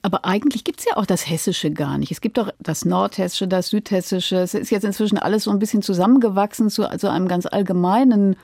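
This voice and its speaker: Mellow-voiced female radio interviewer. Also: medium pitch, quick pace, gravelly, hardly any pauses, no room sound, studio quality.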